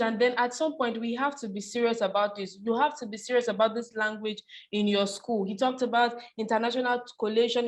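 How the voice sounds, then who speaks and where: A woman hosting a webinar over a video call, bubbly and quick to laugh as she thanks and introduces speakers. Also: on the high side. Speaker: webinar host.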